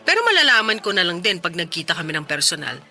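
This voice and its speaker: Bright, animated Filipino woman smitten single friend. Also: fairly low pitch, quick pace, heavily acted. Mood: coy, smitten. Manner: bubbly, coy, playful, girlish. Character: excited, smitten single woman chatting with her best friend. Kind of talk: Tagalog radio drama dialogue. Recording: music bed behind the dialogue.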